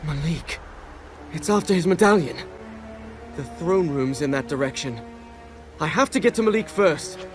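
An actor playing a young, sardonic adventurer prince in a video game, talking to himself with dry wit. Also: male voice, on the high side, expressive, normal speed, long pauses, soft background music, natural voice. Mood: determined musing. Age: young adult. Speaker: game protagonist. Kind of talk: in-game character monologue.

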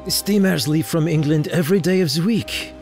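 An actor playing a polite, helpful man, a clerk, with a courteous, measured manner in a radio drama. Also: a little high, a little mellow, faint music behind, close to an everyday voice.

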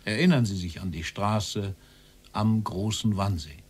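Stern, insistent interrogator. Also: male voice, fairly low pitch, unhurried, darkish tone, slightly rough. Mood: probing. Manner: probing, insistent, formal. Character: stern male interrogator questioning a Nazi-era official. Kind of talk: documentary radio drama dialogue.

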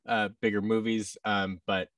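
An American man in his thirties chatting casually as an interview guest, in a halting, self-effacing manner. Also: fairly low pitch, level tone, fairly bright tone, dry recording, clean studio sound, recorded from a distance. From United States. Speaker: guest, voice actor. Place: office room indoors, video call. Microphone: boom microphone and headset on a video call.

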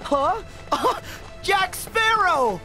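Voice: very high-pitched, very animated, very slow, sharp tone, soft background music, heavily acted. An actor playing a cheerful, eager teenage boy hero who plays at pirate captain in a video game cutscene. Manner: eager, playful, exclamatory.

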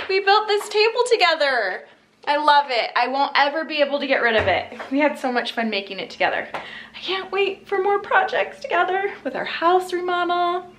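A woman's voice: high voice, fairly bright tone, slight background noise. A woman in her thirties chatting casually to viewers as she vlogs in her home, asking them questions in a conversational way. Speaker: vlog host. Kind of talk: casual chat to camera while cleaning. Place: inside a home, kitchen and laundry area. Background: background music.

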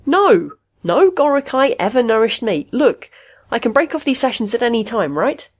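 A woman's voice: fairly high pitch, lively intonation, moderate pace, a little mellow, pitched above the performer's own voice. A woman voicing a weary, exasperated male patient in an audiobook, blunt and irritable in his protests. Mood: angry.

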